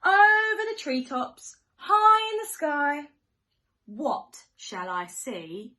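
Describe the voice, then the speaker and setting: A woman with a fairly high, very lively voice reads a children's story in a sing-song rhythm. She takes it very slowly, putting questions to her young listeners with dramatic gasps and hushed moments. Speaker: primary school teacher reading a picture book to children.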